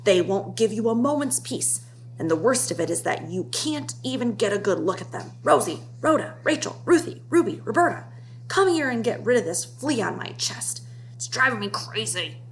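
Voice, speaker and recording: A blustering bully of a storybook gorilla, acted out by a woman reading aloud. He is gruff, impatient and easily exasperated by his itching. A clear hum and noise sit behind the voice. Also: mid-range voice, big pitch swings, normal speed, rough recording. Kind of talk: children's storybook read-aloud.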